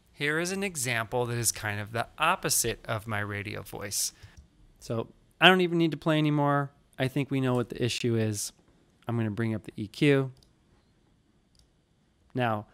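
A man in his thirties teaching audio editing in a relaxed, explanatory way, recorded on a studio microphone in a small home studio. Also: medium pitch, clean tone. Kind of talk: screen-recorded tutorial. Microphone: studio mic in frame, wearing headphones.

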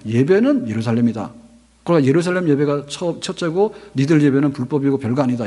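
Pastor, 50s, male, slightly high husky voice, chatty sermon delivery at a pulpit mic, long church-hall reverb. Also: a little mellow. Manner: conversational preaching, rhetorical questions, gestures with hands. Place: large church sanctuary, at a pulpit. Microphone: pulpit microphone on stand.

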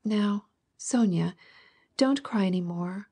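A woman voicing a lively, affectionate young girl who soothes and reassures in an eager way. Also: mid-range voice, normal speed, slightly rough, lightly acted. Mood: comforting.

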